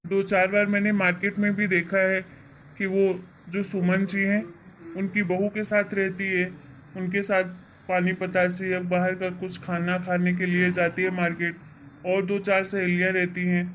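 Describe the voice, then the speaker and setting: A woman's voice: fairly low pitch, monotone, normal speed, muffled recording, a little hiss or hum, close to an everyday voice. A woman voicing a caring husband and father in a cartoon family drama, coaxing and reassuring as he asks questions. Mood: reassuring, thoughtful.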